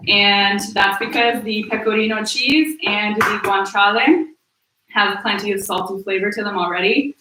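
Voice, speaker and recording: A young American woman from the Midwest walks viewers through a recipe in a loose, chatty way, full of ums. Her voice is bright and clear. She stands back from the mic in an echoey kitchen. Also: medium pitch, recorded from a distance, slight background noise. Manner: relaxed, chatty, many fillers. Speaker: host cooking on a livestream. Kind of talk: casual live cooking chat. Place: home kitchen. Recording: echoey room, voice a bit off-mic.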